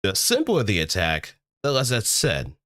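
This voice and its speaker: A man with a low voice talks through a casual livestream lesson. His pitch moves around a lot as he stresses and repeats key words, pausing deliberately between phrases. The sound is close and dry. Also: huge pitch swings, a bit bright, dry recording. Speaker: streamer. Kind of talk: casual livestream tutorial on voice acting, explaining step by step.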